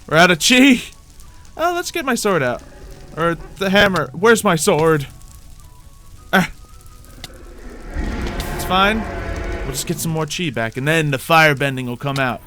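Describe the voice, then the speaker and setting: A man commentating on his own video game play, thinking aloud in an animated way with playful exclamations. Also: a little high, slow pace, pauses often. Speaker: gaming YouTuber playing a Minecraft roleplay series. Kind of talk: gameplay commentary. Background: background music.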